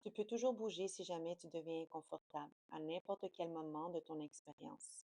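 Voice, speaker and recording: Mellow, low-voiced woman guiding relaxation. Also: vocal fry, dry recording. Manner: soothing, slow, unhurried instruction. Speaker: yoga nidra guide. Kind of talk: guided relaxation meditation.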